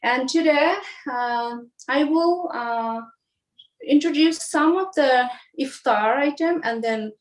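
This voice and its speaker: A woman's voice: high-pitched, takes it slowly, low-quality recording. Hesitant woman chatting while she cooks. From Bangladesh. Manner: hesitant, many fillers and restarts. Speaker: home cook guest. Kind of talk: casual cooking demonstration.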